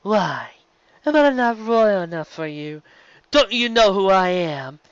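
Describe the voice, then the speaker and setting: A man voicing a haughty, evil alicorn mare villain with a grandiose, mocking, drawn-out delivery. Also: sits high, animated, clear, resonant tone, no room sound, lo-fi sound, voice pushed up. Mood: mocking, imperious.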